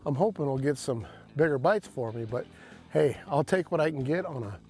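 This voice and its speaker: A middle-aged man hosting a fishing show, explaining tackle in a folksy way from a bass boat on an open lake. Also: a little fast, soft background music. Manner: folksy, excited when landing fish. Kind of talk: outdoor fishing instruction.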